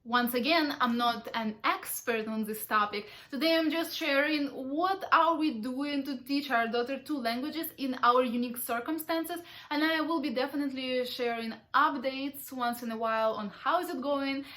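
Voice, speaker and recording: Chatty high-voiced woman. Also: moderate pace, hardly any pauses, bright, forceful tone. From Ukraine. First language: Russian. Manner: chatty and explanatory, self-described talkative. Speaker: parenting vlogger. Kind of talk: casual talk to camera.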